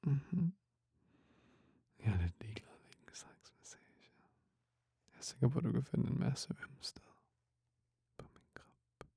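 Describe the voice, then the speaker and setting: Husky whispering Danish boyfriend, acted by a man. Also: medium pitch, sing-song, dry recording, studio quality, heavily acted. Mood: teasing, relaxed. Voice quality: breathy whisper, husky murmur. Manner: slow, soothing, hushed, with soft hums. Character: tender, affectionate boyfriend soothing a partner to sleep. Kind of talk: intimate ASMR sleep-aid roleplay. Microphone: very close microphone, dry.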